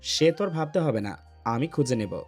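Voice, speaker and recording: A man's voice: mid-range voice, sing-song, normal speed, a little mellow. A man playing a bossy, teasing young man in an audio love story. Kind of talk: Bengali audio story acting. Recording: music behind the voice.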